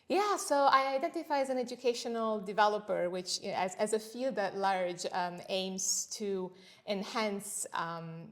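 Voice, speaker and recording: A middle-aged woman from Italy whose first language is Italian, speaking as a senior lecturer in an academic interview, measured and thoughtful, with frequent pauses. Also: medium pitch, a bit bright.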